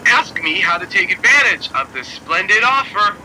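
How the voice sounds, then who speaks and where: A man's voice: high-pitched, sing-song, normal speed, sharp tone, a little hiss or hum, full character voice, rough recording. A man playing an earnest, hapless young man in an audio drama, swinging between formal declaiming and flustered stammering. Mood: grandly declaiming. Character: earnest hapless husky young man staging absurd protests. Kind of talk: scripted audio drama acting.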